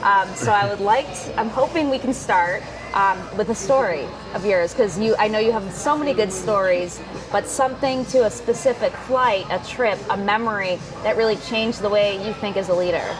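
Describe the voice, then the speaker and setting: A woman's voice: mid-range voice, moderate pace, over music, low-quality recording. A woman hosting a podcast, reading a polished introduction and then asking questions. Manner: polished, friendly, brief backchannels. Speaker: podcast host. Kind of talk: scripted intro then interview.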